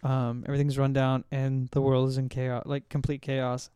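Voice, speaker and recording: A man's voice: medium pitch, completely flat delivery, moderate pace, dark tone, clear, resonant tone, no echo, studio quality. A man hosting a casual film discussion, rambling and often correcting himself as he recalls details. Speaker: podcast host.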